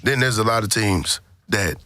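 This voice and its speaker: A man's voice: fairly low pitch, moderate pace, sharp tone, no echo. An American man co-hosting a podcast, debating sports casually in an opinionated, profane way and repeating phrases for emphasis. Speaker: podcast co-host.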